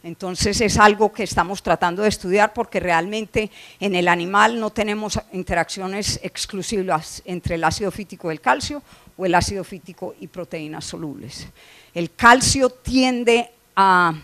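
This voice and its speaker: A woman's voice: lowish voice, a bit bright. A middle-aged woman from Colombia with a Colombian accent, giving a conference lecture on poultry nutrition, explaining slides with frequent "eh" fillers and restarts. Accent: Colombian Spanish. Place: conference hall.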